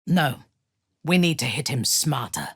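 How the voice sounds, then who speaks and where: A woman playing an imperious, ruthless dark elf warrior in a fantasy game, haughty and menacing, with a husky, breathy voice and measured delivery. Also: rumbling low, lively intonation, no echo, clean studio sound, heavily acted. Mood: cool, commanding. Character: imperious, ruthless female drow warrior and cult commander. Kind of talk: dramatic fantasy game acting.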